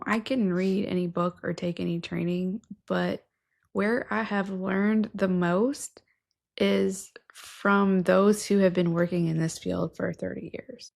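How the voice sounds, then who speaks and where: A woman's voice: fairly low pitch, creaky. A woman in her thirties co-hosting a casual podcast, earnest and enthusiastic, talking into a studio mic in an indoor room. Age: 30s. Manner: earnest, enthusiastic, self-described nerd. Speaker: podcast co-host. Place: indoor room with patterned wallpaper. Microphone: studio mic on stand, wearing headphones.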